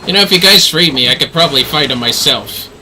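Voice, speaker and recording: Bright-voiced flippant male game streamer. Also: on the high side, lively intonation, fast talker, slight background noise, rough recording. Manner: flippant, wry asides. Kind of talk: casual live game commentary.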